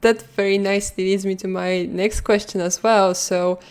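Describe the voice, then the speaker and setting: A woman's voice: mid-range voice, little pitch movement, normal speed, a little mellow, clean tone. A woman hosting an interview, asking polite, orderly questions. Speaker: podcast host.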